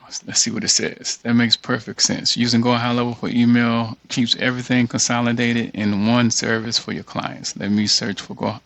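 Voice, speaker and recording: A man's voice: medium pitch, flat delivery, normal speed, gravelly, few pauses, a bit bright, dry recording. A man giving an informal screen-share walkthrough, thinking aloud and muttering as he reads text off the screen. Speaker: tutorial creator.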